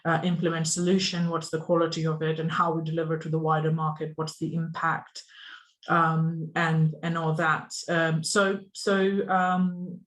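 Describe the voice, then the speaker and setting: A speaker presents over a video call, in a level voice that stays close to one pitch. The delivery is earnest and halting, full of ums and small restarts. It sounds like a software engineer talking to fellow professionals. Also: flat delivery, rough recording. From Pakistan. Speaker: software engineer giving a conference talk. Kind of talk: online presentation.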